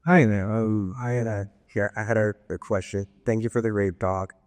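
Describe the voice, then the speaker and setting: A man's voice: on the low side, moderate pace, a little mellow. A man politely and tentatively putting a question to a conference speaker from the audience. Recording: reverberant hall sound.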